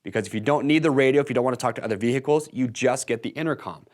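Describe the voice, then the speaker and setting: A man's voice: mid-range voice, racing, a little mellow. An American man in his thirties giving an enthusiastic, casual product walkthrough to camera in a conversational sales style. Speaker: product video presenter. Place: indoor workshop-style studio set.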